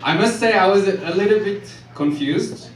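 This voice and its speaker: A man from Ethiopia performing a stand-up set in a comedy club, delivering jokes deadpan and chuckling at his own lines. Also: high-pitched, normal speed. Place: comedy club stage with live audience. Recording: echoey club room, from far off, loud noise.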